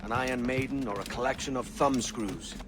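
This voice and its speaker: An actor playing a brash, self-made young pirate in a video game, with a cocky, wry, colloquial delivery. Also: male voice, medium pitch, a little fast, close to an everyday voice. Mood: dry, wry. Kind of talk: video game cinematic acting.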